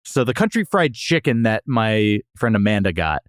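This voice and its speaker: A man's voice: fairly low pitch, sing-song, moderate pace, dry recording, clean studio sound. An American man from Texas hosting a podcast, chatting casually and giving wry, exaggerated comic complaints as he reviews food. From Texas, USA.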